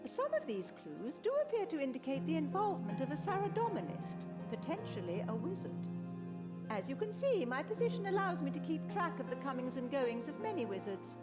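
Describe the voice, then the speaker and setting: A woman's voice: sits high, big pitch swings, brisk, loud music behind the voice. A woman playing a proper, easily affronted wizard scholar in a fantasy video game, speaking formally and precisely. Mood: cautious, measured. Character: esteemed female wizard of Saradomin, proper and indignant scholar.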